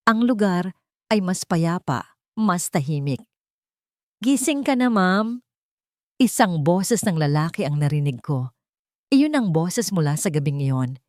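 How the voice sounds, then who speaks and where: Low-voiced woman narrating dramatically. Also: darkish tone, no echo, studio quality. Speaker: audiobook narrator. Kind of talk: dramatic scripted first-person story narration.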